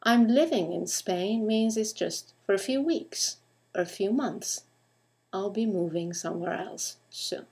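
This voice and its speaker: A woman's voice: medium pitch, measured pace, a little mellow. A woman teacher narrating a scripted grammar lesson, enunciating carefully and putting questions to the listener.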